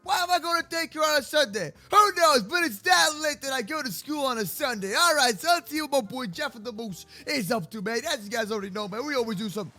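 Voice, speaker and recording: Man acting as a shrill, excitable daycare kid. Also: faint music behind, heavily acted. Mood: chatty. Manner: exclamatory, slangy, repeats words in rapid bursts. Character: excitable young daycare kid, bossy and teasing. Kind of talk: improvised kids' game roleplay.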